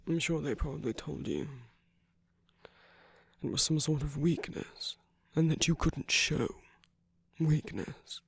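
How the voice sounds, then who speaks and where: An ASMR performer acts out a commanding villain who is tender and protective toward a lover. The voice is breathy and gravelly, often falling to a whisper. It is recorded close and dry, with deliberate, coaxing phrasing. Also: medium pitch, dry recording, full character voice. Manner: slow, deliberate, coaxing, with long pauses. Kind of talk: ASMR roleplay, scripted intimate monologue.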